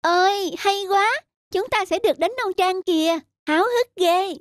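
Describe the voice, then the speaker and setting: A woman's voice: very high-pitched, measured pace, no room sound, clean studio sound. A woman voicing a little schoolchild in a children's cartoon, with an eager, polite, childlike way of talking. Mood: excited wonder. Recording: music behind the voice.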